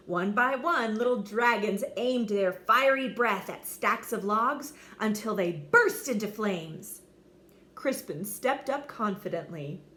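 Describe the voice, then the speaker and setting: A woman's voice: mid-range voice, fairly bright tone, a little hiss or hum. A woman reading a children's story aloud with dramatic, theatrical inflection. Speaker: storybook reader. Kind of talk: expressive scripted story narration for children.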